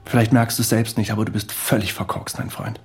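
A man's voice: lowish voice, flat delivery, fast talker, a little mellow, husky, soft background music. An actor playing Wes, a tipsy male friend with a mocking, provocative manner in a radio drama. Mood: bitter, scornful.

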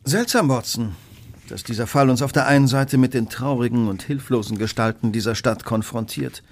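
A man acts out a brilliant Victorian detective in a radio play. His voice sits at a medium pitch, and he speaks with commanding precision. His deductions come in clipped, imperious phrases with great intensity. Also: moderate pace, close to an everyday voice. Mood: analytical, cool. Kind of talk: German radio drama acting.

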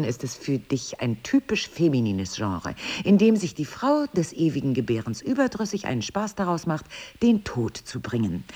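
An actress playing a poised, teasing and evasive wife, articulate and ironic, in a naturalistic relationship drama. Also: female voice, low-pitched, dark tone. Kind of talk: two-hander relationship drama acting, naturalistic.

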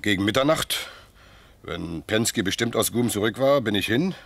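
Subdued, hesitant caught thief. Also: male voice, fairly low pitch, lively intonation, moderate pace, gravelly, a bit bright, no room sound, heavily acted. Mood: subdued, confessing. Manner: hesitant, resigned. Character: caught male thief, a factory electrician, subdued and confessing. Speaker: actor in a crime radio drama. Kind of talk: mid-century German crime radio play acting.

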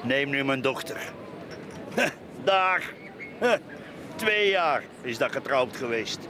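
A man's voice: mid-range voice, lively intonation, slow pace, crowd noise. An actor with a Flemish accent playing a bitter, boastful, drunken middle-aged man in a radio play. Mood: grumbling, boozy. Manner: rambling, repetitive, cackling, tipsy. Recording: radio play with clear outdoor background noise.

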